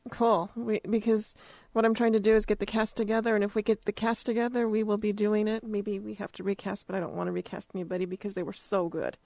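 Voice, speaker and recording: A woman's voice: medium pitch, level tone, quick pace, gravelly, darkish tone, no echo. American woman, mid-range voice, casual interviewer, chatty informal phrasing, dry room-free sound. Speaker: interviewer, radio drama producer.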